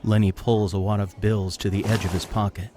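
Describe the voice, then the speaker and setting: A man with a fairly low voice narrates an audio drama. He reads scripted scene descriptions as the narrator. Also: flat delivery, a little fast, dark tone, a little hiss or hum.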